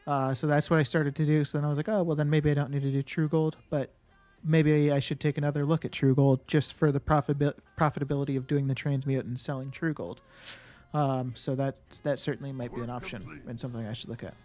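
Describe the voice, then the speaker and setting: A man's voice: mid-range voice, level tone, quick pace, darkish tone, no room sound, faint music behind. A man live-streaming a game, chatting casually with viewers. He thinks aloud and answers chat with lots of fillers and restarts.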